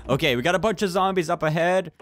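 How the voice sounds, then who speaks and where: A young man chatting casually and playfully with friends during gameplay, often exclaiming, on a close microphone. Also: on the high side. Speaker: gaming video player. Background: background music.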